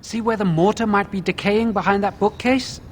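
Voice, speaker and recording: A man playing an eager young mage apprentice in a fantasy game, earnest and expressive. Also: high-pitched, normal speed. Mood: curious, keen.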